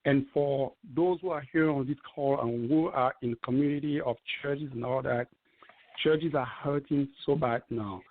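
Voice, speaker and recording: Gravelly man on a call line. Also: medium pitch, normal speed, slight background noise. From Cameroon. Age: 50s. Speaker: guest speaker. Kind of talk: testimonial pitch on a call. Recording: tinny phone-like call audio.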